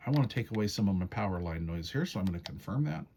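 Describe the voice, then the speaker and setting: A man's voice: fairly low pitch, expressive, racing, a little mellow, a little hiss or hum. A man giving a casual, hands-on product walkthrough in a folksy, step-by-step, conversational way. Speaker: radio reviewer demonstrating menu settings.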